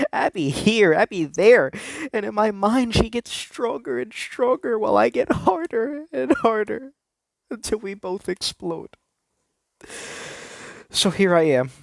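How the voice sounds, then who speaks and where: A man's voice: high voice, vocal fry, no echo. A man reading a first-person story aloud in a theatrical, earnest, confessional way.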